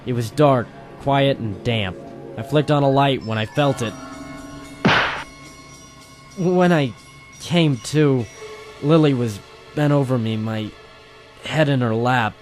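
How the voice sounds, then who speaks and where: A man's voice: mid-range voice, takes it slowly, long pauses, natural voice. A man playing a weary, wry private detective, narrating and talking tersely in a noir radio drama. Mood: wry, tense narration. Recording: music playing behind the voice.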